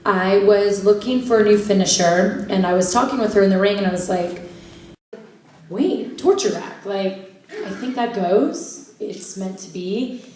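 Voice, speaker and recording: A woman's voice: medium pitch, darkish tone, distant mic, slight background noise. Bubbly woman in an echoey hall. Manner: bubbly, laughs often, quick asides. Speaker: panelist answering fan questions. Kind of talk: casual convention Q&A. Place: large convention hall. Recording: echoey hall, heard from afar.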